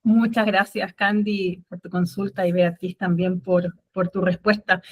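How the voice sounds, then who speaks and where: A woman's voice: lowish voice, little pitch movement, measured pace, husky. A woman hosting an online talk on a video call, thanking the guest and audience in a loose, friendly wrap-up. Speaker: webinar host, moderator.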